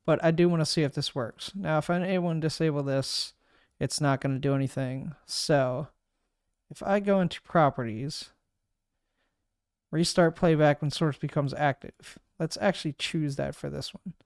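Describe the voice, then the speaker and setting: A man casually walking through a streaming software setup, thinking aloud and narrating each click as he goes. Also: fairly high pitch, normal speed, clear, resonant tone, no room sound, clean studio sound. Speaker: streamer giving a software tutorial. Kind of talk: casual screen-recorded walkthrough. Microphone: desk microphone.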